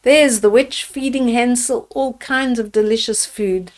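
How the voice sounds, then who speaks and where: A woman storyteller reading a fairy tale aloud to children, deliberately, with simple asides to her young listeners. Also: fairly high pitch, steady tone. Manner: deliberate, addresses children with simple questions and comments.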